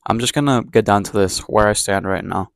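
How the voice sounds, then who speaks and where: A young man from Ecuador with a somewhat low voice talks straight to the camera in a casual daily vlog. He rambles, circles back and pokes fun at himself, keeping the tone candid and unpolished. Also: fairly quick, vocal fry, no room sound, studio quality. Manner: rambling, self-deprecating, candid. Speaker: vlogger. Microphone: camera mic, talking to camera.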